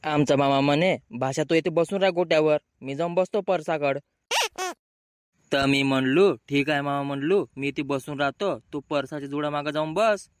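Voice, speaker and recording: A man's voice: a little high, normal speed, smooth, no room sound, studio quality, voice pushed down, lightly acted. A man voicing a young village lad in a comedy cartoon, telling silly mishaps in an earthy rural Maharashtrian accent. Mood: comic storytelling.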